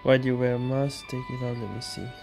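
A woman's voice: very deep voice, little pitch movement, fast talker. A woman streamer giving casual commentary while playing a video game. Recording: loud game music behind.

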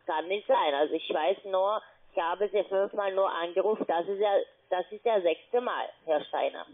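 A woman phone scammer posing as an advisor, speaking halting German and repeating the customer's name. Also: lowish voice. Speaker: scam call-centre advisor. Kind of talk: phone sales pitch. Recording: tinny phone line.

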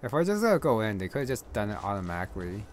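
A man giving casual, low-key gameplay commentary, thinking aloud as he plays. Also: very animated, fast talker. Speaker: gamer recording a playthrough. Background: game music.

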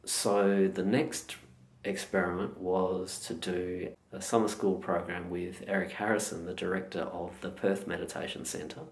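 A middle-aged Australian man, a meditation teacher, answering interview questions in a slow, measured and thoughtful way. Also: low voice. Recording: echoey room.

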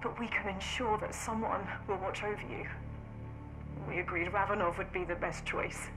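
Heartfelt, halting mother saying farewell, acted by a woman. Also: medium pitch, steady tone, natural voice, poor audio. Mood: grave, tender. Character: dying scientist mother in a farewell message, tender, regretful. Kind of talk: dramatic video game cutscene acting. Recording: music behind the voice.

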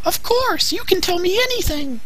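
A woman's voice: extremely high pitch, animated, normal speed, fairly bright tone. A woman playing a hot-tempered, impulsive young warrior commander in an anime parody, speaking emphatically. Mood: soft, content. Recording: clearly noisy track.

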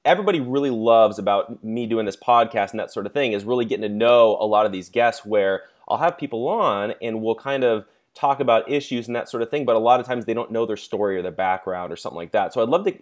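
A man's voice: fairly low pitch, expressive, fast, hardly any pauses. A man in his thirties hosting a podcast over a video call, often repeating words as he starts a thought. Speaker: podcast host. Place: home room indoors. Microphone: earbud headset on video call.

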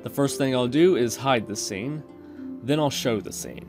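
A man's voice: medium pitch, normal speed. Man narrating a coding tutorial over music. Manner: informal, chatty, talks through each step. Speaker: tutorial host. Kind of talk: casual screen-recorded coding tutorial narration.

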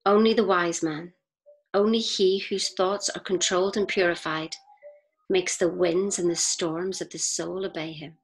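A woman reads scripted inspirational narration in a measured, deliberate way, with pauses between phrases. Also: on the low side, steady tone, husky, rough recording. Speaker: audiobook reader. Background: faint music.

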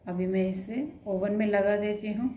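Flat, mellow woman demonstrating a recipe. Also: mid-range voice, moderate pace, slight background noise. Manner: instructive, addresses viewers directly. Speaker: cooking channel host.

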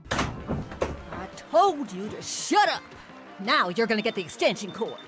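A tyrannical mother in an audio drama. Her voice sits a little high and swoops widely as she snaps and sneers, spiteful, cold and sure of her own righteousness. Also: big pitch swings, unhurried, music in the background. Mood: angry, menacing. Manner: harsh, scornful, snapping. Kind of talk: radio drama acting.

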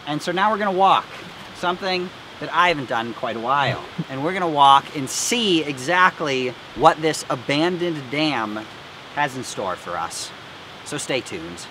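A man's voice: medium pitch, poor audio. Joking young man hiking in woods. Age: young adult. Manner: jokey, mock-dramatic, rambling. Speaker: host. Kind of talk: outdoor hiking vlog with voice-over narration. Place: wooded hillside outdoors.

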